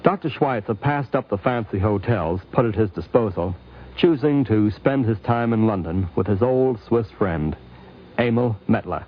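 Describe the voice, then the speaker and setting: A man narrating a scripted 1950s radio program in a formal, measured way. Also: lowish voice, expressive. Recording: narrow tinny 1950s radio recording.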